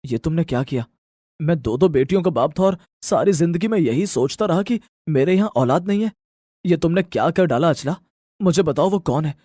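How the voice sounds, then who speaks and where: A man's voice: on the high side, lively intonation, fairly quick, dark tone, dry recording, clean studio sound. A man voicing an emotional husband and father with an earnest, questioning manner in an audio story. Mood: shocked, distressed. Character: emotional adult husband, shocked and longing father.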